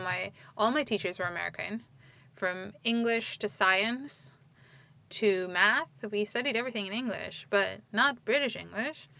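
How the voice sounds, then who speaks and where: A woman's voice: mid-range voice, gravelly, fairly bright tone. A woman in her forties, from Turkey, telling her story in a casual conversation, rambling and restarting her sentences. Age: 40s.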